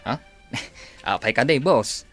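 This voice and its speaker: A man's voice: mid-range voice, slow pace, faint music behind. A man playing a cheeky, contented sidekick who teases his boss in an Ilocano radio comedy drama. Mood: teasing. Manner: teasing, chuckling.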